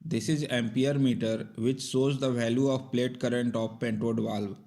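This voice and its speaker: A man's voice: mid-range voice, steady tone, normal speed, mellow. A man narrating a scripted technical tutorial in a methodical, step-by-step instructional manner. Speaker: repair tutorial presenter.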